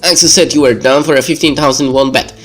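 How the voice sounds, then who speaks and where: A man's voice: medium pitch, steady tone, quick pace, fairly bright tone, faint music behind, voice pushed up, lightly acted. A man voicing a cocky, goading teenage boy who is a pro gamer in a visual novel.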